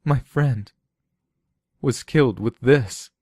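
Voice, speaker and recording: A man voicing a shaken, grieving accuser in a story, hushed, halting and intense. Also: medium pitch, breathy edge, no echo, studio quality, pitched above the performer's own voice. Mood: horrified, accusing.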